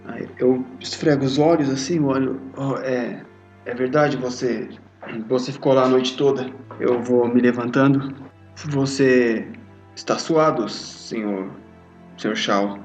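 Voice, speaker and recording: A man's voice: medium pitch, steady tone, darkish tone. A Brazilian man improvising as a roleplay player, hesitant as he describes his character's actions. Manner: hesitant, halting. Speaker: tabletop RPG player. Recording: muffled lo-fi audio under music. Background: background music.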